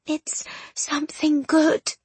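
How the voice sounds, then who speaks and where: A woman voicing a tender, grieving young woman in an audiobook, speaking in a hushed, emotional way. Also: sits high, animated, measured pace, slightly rough, no echo, pitched above the performer's own voice, heavily acted. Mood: hoarse whisper. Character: tender, grieving young woman consoling her best friend. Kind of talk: audiobook character dialogue.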